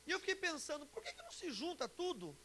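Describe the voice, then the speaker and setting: A Brazilian pastor in his forties speaks in a notably high male voice. He teaches a congregation informally, joking and checking in with questions. A faint hiss runs under the live recording. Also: wildly swooping pitch, quick pace, sharp tone. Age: 40s. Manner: chatty, joking, asks the audience questions. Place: church conference before an audience. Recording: live talk with background hiss.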